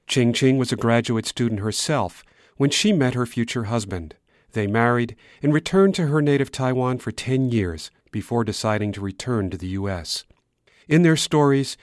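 A man hosting a public radio program, reading a scripted introduction in a measured, announcer-like way. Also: mid-range voice, no echo, clean studio sound. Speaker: radio executive producer and host.

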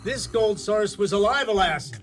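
A man's voice: high-pitched, lively intonation, moderate pace, fairly bright tone, slight background noise. A man narrating a fairy-tale radio play in an ornate, dramatic, theatrical style. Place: radio studio.

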